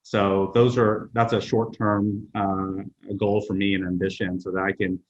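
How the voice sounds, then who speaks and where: A middle-aged man whose first language is Vietnamese, answering interview questions in a measured way with frequent pauses. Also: lowish voice, flat delivery, lo-fi sound. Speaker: HR executive guest.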